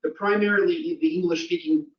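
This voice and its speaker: A man's voice: fairly high pitch, fairly even intonation, brisk. A man hosting a webinar, putting conversational questions to the presenter, on distant, roomy, dull audio. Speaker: webinar host.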